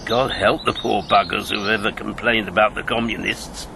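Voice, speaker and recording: A man's voice: fairly low pitch, fairly even intonation, normal speed. An actor playing a talkative, needling British ex-agent in his fifties in a radio drama, rambling and sardonic. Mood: sardonic, exasperated. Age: 50s. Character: talkative, needling fifty-year-old male ex-wartime agent. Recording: clear background noise with birdsong.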